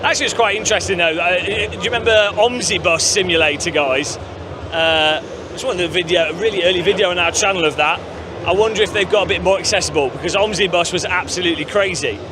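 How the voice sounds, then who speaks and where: Upbeat high-voiced man on a noisy show floor. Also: brisk. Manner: chatty, upbeat, lots of fillers. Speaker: gaming channel host. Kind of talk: casual walkthrough commentary. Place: busy gaming convention floor.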